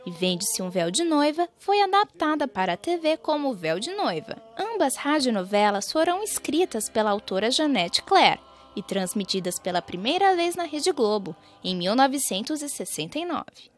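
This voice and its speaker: A woman's voice: high-pitched, big pitch swings, moderate pace. A young Brazilian woman reading scripted narration for a radio documentary. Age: young adult.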